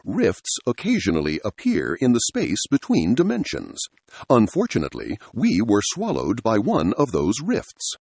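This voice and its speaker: A man voicing a calm, gentlemanly android bird from another dimension, speaking formally and politely in an audiobook story. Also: medium pitch, creaky, breathy edge, no echo, full character voice. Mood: courteous, explanatory. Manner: formal, courteous, measured.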